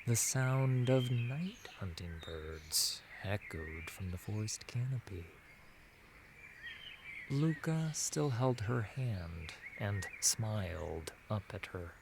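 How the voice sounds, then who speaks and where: A man narrating a fantasy audio drama in a solemn, measured, dramatic storytelling manner, letting phrase endings drop to a hush. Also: on the low side, expressive, creaky, background noise.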